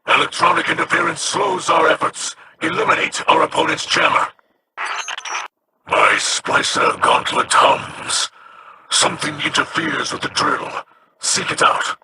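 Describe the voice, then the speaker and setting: A gravelly, stern alien captain. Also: male voice, a little high, a little slow, very noisy. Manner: formal, clipped commands.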